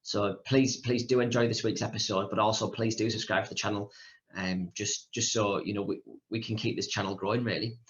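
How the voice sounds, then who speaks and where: A man hosting a podcast and interviewing a guest, brisk and often repeating words. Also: fairly low pitch, steady tone, fairly bright tone, muffled recording. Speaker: podcast host. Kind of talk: casual interview chat.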